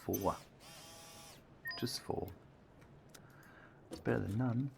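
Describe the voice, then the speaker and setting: A man's voice: on the low side, takes it slowly, vocal fry, a little hiss or hum. A man streaming a building game, chatting casually and thinking aloud as he works, with his voice getting strained. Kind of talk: casual gameplay commentary.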